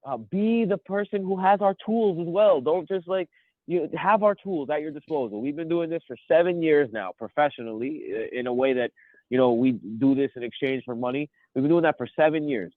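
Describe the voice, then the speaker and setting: A man in his thirties with a cold, chatting as a podcast co-host and rambling excitedly through his stories. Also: a little high, brisk, no room sound. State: sniffly from a cold. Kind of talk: casual chat. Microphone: phone. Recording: tinny phone line.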